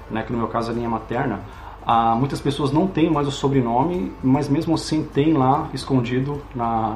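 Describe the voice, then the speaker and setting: A man's voice: medium pitch, a little mellow, echoey room, slight background noise. A Brazilian man hosting a casual interview chat, explaining things in a friendly way. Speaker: host.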